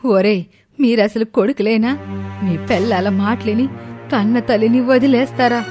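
A woman's voice: fairly high pitch, a little slow, music in the background, natural voice. A woman playing a stern, aggrieved older mother in a cartoon, reproachful and firm. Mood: shocked, indignant.

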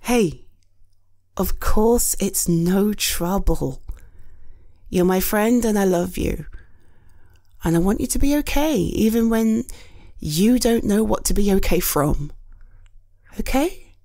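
A British woman playing a gentle, caring friend in a comfort audio roleplay, soothing and reassuring with hesitant pauses. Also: mid-range voice, expressive, no room sound, studio quality. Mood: soothing, affectionate.

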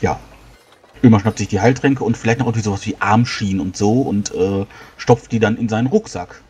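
A man's voice: fairly low pitch, brisk, darkish tone, slightly rough. A man chatting casually as a player in a tabletop game.

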